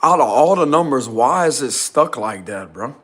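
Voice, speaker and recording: A man vlogging casually and insistently from a small rented room, with a deep, loud voice. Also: moderate pace. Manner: excited, insistent, slangy. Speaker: spiritual vlogger. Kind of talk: casual vlog to camera.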